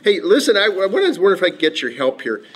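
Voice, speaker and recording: A man's voice: sits high, big pitch swings, fast talker, fairly bright tone, husky, exaggerated voice. A man playing a mild-mannered clinic boss in a conversational training film, hesitant and often repeating his words. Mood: friendly, appreciative. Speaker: office manager in staff training film. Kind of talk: acted workplace role-play, conversational.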